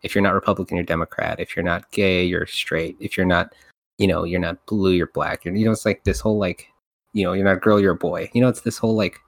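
Low-voiced guy hosting a chat podcast, lively, laughs a lot. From United States. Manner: animated, laughs often, talks in quick runs. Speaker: podcast host.

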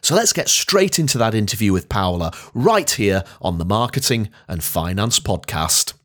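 Animated male podcast host with lively pitch. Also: normal speed. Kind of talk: podcast introduction and interview. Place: indoors at home. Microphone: remote video call interview.

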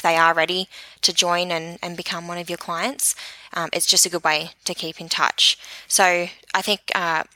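A woman in her thirties with an Australian accent gives conversational expert advice. Her voice is on the low side yet bright and forward, recorded close and dry. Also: no room sound, low-quality recording. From New Zealand. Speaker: guest social media expert.

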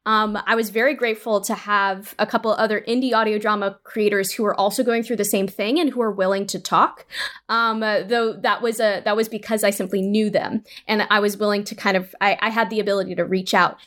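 A woman speaking casually as an interview guest, halting and repeating words as she works toward her point. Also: mid-range voice, steady tone, a bit bright. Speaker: indie audio drama creator, interview guest. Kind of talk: casual unscripted interview talk.

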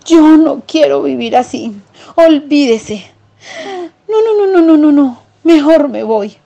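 A woman voicing a timid, poor male country mouse in a storybook tale, squeaky and fretful. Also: animated, slow, creaky, mellow, highs cut off, heavily acted. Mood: anxious.

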